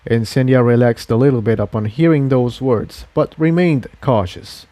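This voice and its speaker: A man's voice: medium pitch, moderate pace, warm tone. A man reading fan fiction aloud as an amateur narrator, in scripted storytelling style.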